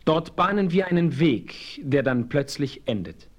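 A man's voice: fairly high pitch, animated, measured pace. A man playing a noble, commanding Apache chief who speaks formally and declaims in a German radio play. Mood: composed.

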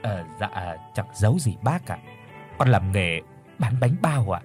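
A man voicing a timid, humble young man who stammers softly. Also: expressive, moderate pace, soft background music, close to an everyday voice. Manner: hesitant, stammering, soft and low.

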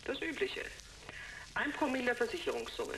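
A woman's voice: a little high, wildly swooping pitch, fairly quick, fairly bright tone, a little hiss or hum, poor audio. A woman playing a sceptical, formal client negotiating with a detective in a sci-fi radio drama. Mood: cool, sceptical.

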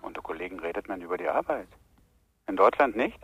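An actor playing a sly, needling policeman in a radio crime drama, falsely collegial and quietly menacing, asking pointed, insinuating questions. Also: medium pitch, very animated, fast talker, vocal fry, breathy edge, no echo, full character voice.

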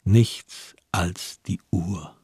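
Very husky, slow male narrator. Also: deep voice, dark tone, dry recording, studio quality. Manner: measured, grave, sparse phrasing. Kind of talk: scripted radio drama narration.

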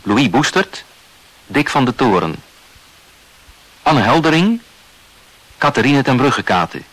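A male radio announcer reads scripted credits. He takes his time, formal and measured, with plenty of rise and fall in a mid-pitched voice. The studio sound is close and dry. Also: very animated, fairly bright tone, no room sound, rough recording.

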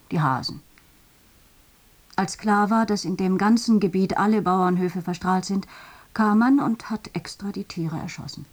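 A woman playing a sober witness in a radio drama, recounting in a plain, subdued way with a husky voice. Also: fairly low pitch, a little mellow. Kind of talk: testimony monologue in a radio drama.

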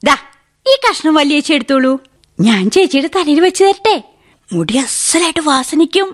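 A proud, chirpy little girl who fusses over her big sister. Her voice is high and bounces around. It sounds like an old radio drama. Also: big pitch swings, moderate pace. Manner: chirpy, childlike.

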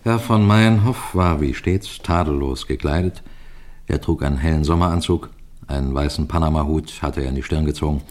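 An actor playing a seasoned police detective in a radio crime drama, measured, courteous and building suspense as he tells his story. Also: deep voice, a little mellow, lightly acted. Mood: tense storytelling. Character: seasoned, composed male detective superintendent telling his story.

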